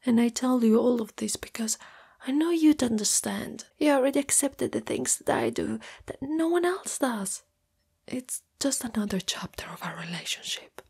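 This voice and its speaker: A woman voicing a shy, caring spider monster girlfriend in a gentle, intimate, mostly whispered ASMR roleplay. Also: on the high side, animated, normal speed, creaky, slightly rough, exaggerated voice. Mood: yearning. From Italy.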